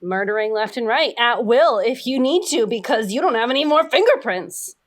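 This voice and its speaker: A woman's voice: on the high side, animated, normal speed. A woman chatting casually and comedically as a podcast panelist, in a rambling way.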